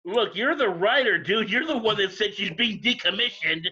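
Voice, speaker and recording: A man bantering casually on a cast panel in an animated, exclamatory way. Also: high-pitched, moderate pace, husky. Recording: dull lo-fi call audio.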